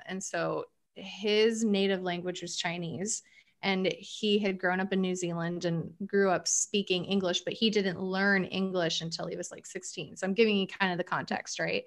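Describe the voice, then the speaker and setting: A woman therapist guest in an interview, explaining coping methods in a lively, animated way. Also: mid-range voice. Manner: animated, explanatory, acts out quoted thoughts. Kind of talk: interview conversation.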